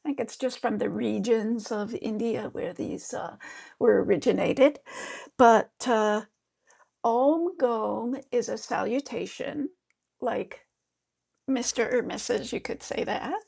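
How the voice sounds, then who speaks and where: A woman with a high voice, a middle-aged yoga therapist gently guiding a mantra meditation lesson with easy, encouraging talk. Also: takes it slowly, creaky, slightly rough. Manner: gentle, encouraging, with fillers and restarts.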